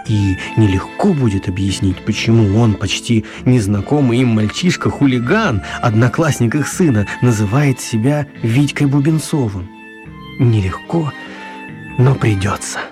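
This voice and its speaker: A man narrating a scripted story for a radio play, with dramatic, deliberate phrasing. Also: medium pitch, over music.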